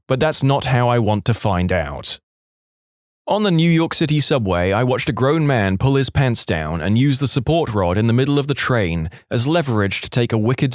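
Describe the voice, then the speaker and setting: Man narrating stories with lively pitch swings. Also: no room sound, clean studio sound. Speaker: narrator reading online stories. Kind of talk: scripted story narration.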